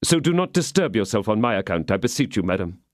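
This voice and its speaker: A man voicing a grave, upright young soldier who speaks in a measured, serious way. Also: lowish voice, very animated, no echo, clean studio sound.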